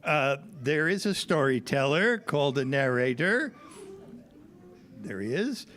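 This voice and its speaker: A man's voice: medium pitch, big pitch swings, unhurried, a bit bright. A man presenting a live audio theater show to children. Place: live library event before an audience.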